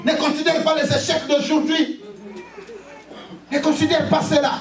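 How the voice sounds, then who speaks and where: A man from Congo preaching a fervent sermon and giving personal testimony, punctuating it with repeated hallelujahs, sometimes shouting, sometimes stumbling and repeating words. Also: very high-pitched, level tone, normal speed, gravelly, a crowd in the background. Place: church. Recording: roomy church hall, mic at a distance, congregation audible. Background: congregation responding.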